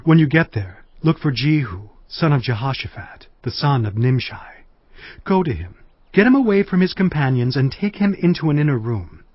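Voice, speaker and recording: A man's voice: medium pitch, big pitch swings, moderate pace, no echo. A man voicing an old prophet who gives urgent, commanding orders. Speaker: Elisha. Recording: dull lo-fi audio.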